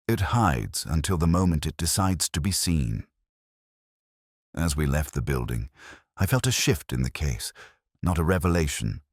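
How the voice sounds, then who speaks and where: A weary, contemplative male inspector played by a man narrates a detective story in a very deep voice. The delivery is solemn, literary and measured, yet the pitch moves expressively. The recording is dry, with no room around the voice. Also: no room sound, exaggerated voice. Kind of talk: scripted first-person detective narration.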